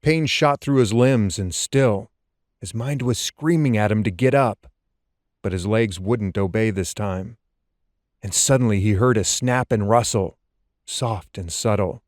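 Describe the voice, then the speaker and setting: A man narrating suspense fiction as an audiobook, in a tense, deliberate and dramatic storytelling style. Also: lowish voice, a little mellow, no echo, clean studio sound.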